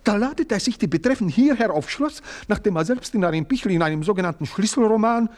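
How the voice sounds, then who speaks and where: An actor playing a proud, indignant manservant, speaking in a stilted formal way and bursting into outrage. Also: male voice, high-pitched, lively intonation, fast talker, a little mellow. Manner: stilted formal speech, swings into outraged outbursts. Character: proud indignant middle-aged male manservant, pompous and wounded. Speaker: servant. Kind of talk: radio play acting.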